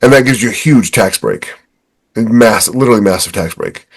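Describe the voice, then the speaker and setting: A man's voice: lowish voice, a little fast, breathy edge. A man in his fifties giving casual, emphatic, explanatory advice in a conversational chat. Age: 50s. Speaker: podcast co-host.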